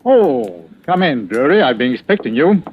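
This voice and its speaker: An actor playing a kindly middle-aged police chief, measured and formal in an old radio mystery. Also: male voice, mid-range voice, very animated, a little hiss or hum, lightly acted. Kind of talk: 1940s radio mystery acting. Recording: dull old radio recording.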